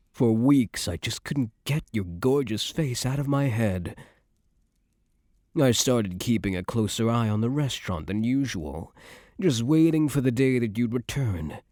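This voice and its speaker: Man playing a low-voiced doting young mafia boyfriend. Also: darkish tone, no echo, studio quality. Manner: affectionate, slangy, streetwise, self-assured. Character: doting young male mafia heir, tough but tender boyfriend. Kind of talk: ASMR boyfriend roleplay, intimate scripted monologue.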